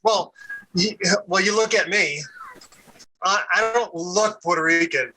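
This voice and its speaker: A man's voice: high voice, measured pace, rough recording. A man in his thirties, a podcast guest chatting casually and rambling, repeating words and laughing easily. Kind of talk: casual conversation.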